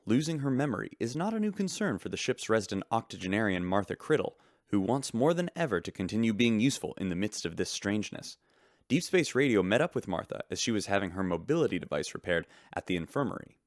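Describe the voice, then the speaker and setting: An actor playing a polished, wry shipboard radio news reporter in a sci-fi audio drama. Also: male voice, fairly low pitch, big pitch swings, brisk, dry recording, clean studio sound. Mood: wry, brisk. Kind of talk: scripted radio news report with dry humor.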